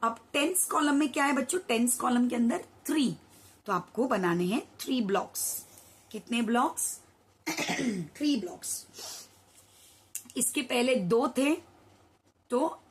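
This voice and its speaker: A young woman teaching a children's maths lesson, her voice hoarse, counting slowly aloud and asking the children questions. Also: fairly high pitch, animated. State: hoarse from shouting at school.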